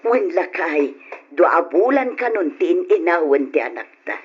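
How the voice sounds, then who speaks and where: An older woman with an Ilocano accent playing a doting, excitable mother in an old radio drama. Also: fairly low pitch, takes it slowly, slight background noise. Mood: delighted. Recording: dull lo-fi radio drama recording.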